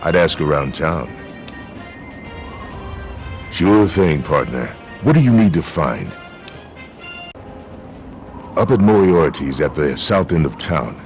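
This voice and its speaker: A man playing a gruff, folksy frontier sheriff and mayor in a video game, plainspoken and authoritative. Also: very deep voice, very animated, takes it slowly, pauses often, soft background music, exaggerated voice. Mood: businesslike, wary. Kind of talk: video game character dialogue.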